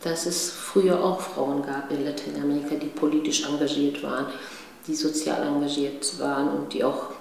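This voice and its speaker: A woman in her fifties with a Berlin accent, giving personal testimony in a halting way, searching for words and often adding tag questions. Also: low voice, level tone, creaky, darkish tone. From East Berlin, Germany, born in Chile. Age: 50s. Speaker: interviewee, political activist. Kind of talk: personal testimony, recounting family history to camera. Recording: very echoey room, faint background noise.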